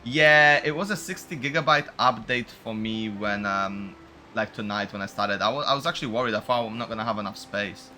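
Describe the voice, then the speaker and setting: A man's voice: fairly low pitch, brisk, pushed and bright. A young man doing casual livestream game commentary, upbeat and slangy as he chats with his viewers. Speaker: game streamer. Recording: music playing behind the voice.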